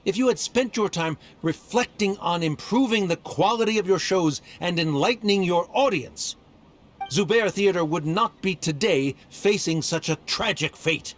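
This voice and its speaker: A man's voice: high voice, normal speed, close to an everyday voice. A man playing a haughty scholar debater. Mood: condescending. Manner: lecturing, formal and dismissive. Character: haughty male Academia scholar, condescending debater. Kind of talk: game voice acting.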